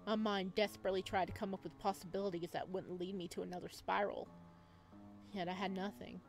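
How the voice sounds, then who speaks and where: A woman's voice: mid-range voice, little pitch movement, brisk, vocal fry. Girl doing a visual novel lets play, reading it out all dramatic, casual comments, music in the back. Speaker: video game playthrough host.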